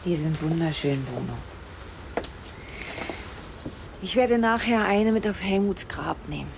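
War widow: very creaky, lively pitch swings, weary irony, faint ambience. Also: female voice, normal speed, noisy background. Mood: wistful. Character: bitterly ironic war widow, wistful and weary. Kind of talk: German radio play dialogue.

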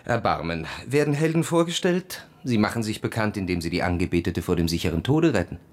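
An actor playing a proud, bored, cynical army officer who tells his story in a cool, measured, ironic way for a radio play. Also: lowish voice, lively intonation, close to an everyday voice. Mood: scheming. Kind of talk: literary radio play narration and dialogue.

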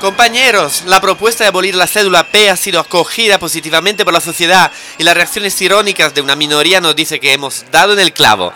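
A man's voice: fairly high pitch, bright, forceful tone, slight background noise. An actor playing an earnest, argumentative young male activist in a scripted audio drama. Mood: rousing, defiant. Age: young adult. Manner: argumentative, rhetorical.

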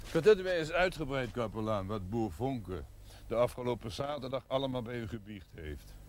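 A man's voice: medium pitch, expressive, normal speed, lightly acted. A man playing a wry, courteous country doctor who speaks formally and teasingly. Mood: dry, ironic. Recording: television drama with faint hiss.

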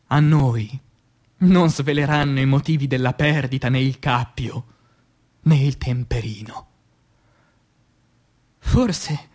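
Slow, high-voiced man declaiming poetry. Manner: declamatory, solemn, with drawn-out phrases and long pauses. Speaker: actor reciting poetry. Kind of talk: dramatic theatrical poetry recitation for radio theatre.

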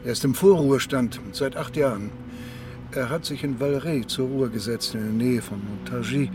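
Male police deputy, acted, sober, factual, medium pitch, radio play, loud music behind. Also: normal speed, close to an everyday voice. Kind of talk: German radio crime drama acting.

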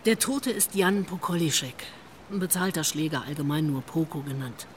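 A woman's voice: low-pitched, normal speed, a little hiss or hum, lightly acted. An actress playing a wry, worldly police investigator in a radio drama, telling what she knows in a knowing manner. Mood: matter-of-fact, wry. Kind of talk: naturalistic radio drama acting.

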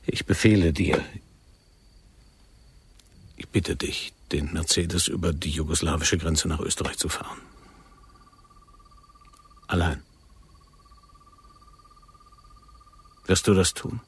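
An actor in a German radio play playing a commanding agent who poses as a fervent militant lover, speaking gravely and insistently. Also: male voice, a bass voice, unhurried, long pauses, full character voice. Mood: solemn, commanding.

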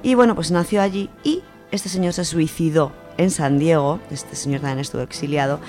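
A woman's voice: low-pitched, fairly quick, a little mellow, soft background music. A woman with an Aragonese Spanish accent presents a literary segment on a radio show, speaking in a chatty, explanatory way with many fillers.

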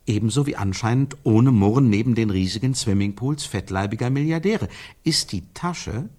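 A male actor in a radio play as an insistent, searching man who theorises in emphatic, repeated phrases with pauses for effect. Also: mid-range voice, lively intonation, darkish tone, close to an everyday voice. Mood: insistent, probing. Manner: emphatic, repetitive, probing, pausing for effect. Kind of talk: radio play dialogue, rhetorical repetition.

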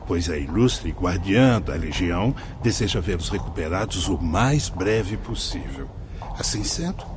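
A Brazilian man playing an earnest, kindly spirit guide in a radio drama, speaking in a formal, measured, instructive way. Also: fairly low pitch, noisy background. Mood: solemn, instructive. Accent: Brazilian Portuguese.

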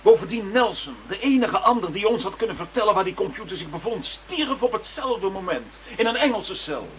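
A firm, insistent detective. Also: male voice, high-pitched, lively intonation, a little fast, slight background noise. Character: earnest, insistent male detective. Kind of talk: radio drama acting. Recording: dull lo-fi radio drama recording.

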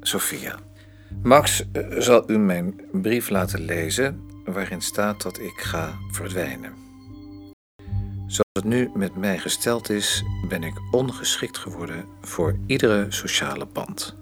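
An actor playing a troubled, articulate middle-aged politician in a radio drama, speaking haltingly. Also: male voice, low-pitched, animated, faint music behind, exaggerated voice. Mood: solemn, melancholy. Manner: halting, repeats himself when pressed.

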